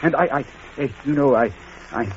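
An actor playing a shy, fussy middle-aged British schoolmaster in an old radio drama, speaking in a hesitant, stammering, formal way. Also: male voice, mid-range voice, lively intonation, moderate pace, natural voice. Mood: nervous, hopeful. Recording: dull, faintly noisy vintage radio recording.

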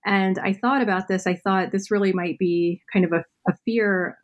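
A woman's voice: mid-range voice, steady tone, moderate pace, muffled recording. A woman interviewing an author about her book, asking careful, hesitant questions with frequent restarts.

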